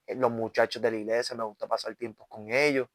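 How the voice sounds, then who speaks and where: A man's voice: mid-range voice, little pitch movement, fast talker, no echo. A man in his twenties with a Puerto Rican accent, an evangelist giving his testimony in an interview, earnest, tagging his sentences with "¿verdad?". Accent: Puerto Rican Spanish. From Puerto Rico. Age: 20s. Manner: earnest, with constant "¿verdad?" tags and restarts. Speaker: evangelist guest.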